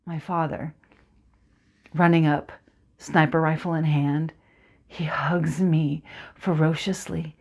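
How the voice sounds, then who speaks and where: A woman performing a monologue as a teenage Kurdish girl, telling her story in a hushed, poetic way. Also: sits low, steady tone, slow pace, long pauses, pitched below the performer's own voice, heavily acted. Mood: shaken. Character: teenage Kurdish girl, haunted former sniper.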